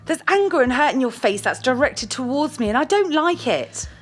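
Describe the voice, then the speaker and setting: Woman acting a bossy, high-voiced girlfriend. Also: big pitch swings, moderate pace. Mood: reproachful. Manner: blunt, commanding, scolding. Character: domineering, short-tempered, foul-mouthed girlfriend, bossy and critical. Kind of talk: audio play dialogue.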